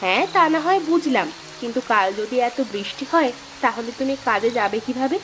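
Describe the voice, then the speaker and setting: A woman voicing a worried, sharp-tongued village housewife in a moral-tale cartoon. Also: a little high, lively intonation, fairly quick, music in the background, lightly acted, low-quality recording. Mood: concerned.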